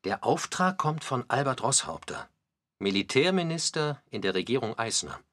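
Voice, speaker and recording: An actor playing a documentary narrator in a radio play, telling history in a deliberate, articulate way. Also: male voice, medium pitch, very animated, a bit bright. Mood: matter-of-fact. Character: male documentary narrator recounting postwar Munich history.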